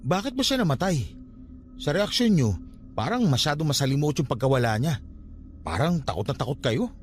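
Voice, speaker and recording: A stubborn young Filipino nephew in a radio drama. His voice is high for a man and very animated, pleading and arguing defensively, with music underneath. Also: brisk. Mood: curious. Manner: pleading, argumentative, expressive.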